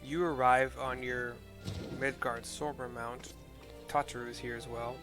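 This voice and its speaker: A man casually describing video game scenes as he plays, in an offhand, halting, slightly wry way. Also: medium pitch, level tone, music in the background. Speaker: game streamer.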